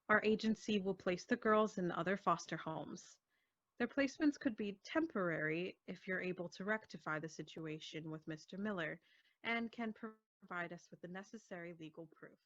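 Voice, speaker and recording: A woman's voice: lowish voice, dry recording, lightly acted. Woman playing a formal agency official, dull sound. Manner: formal, measured. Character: formal, businesslike foster agency woman reading her letter. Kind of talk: radio play acting, letter read aloud.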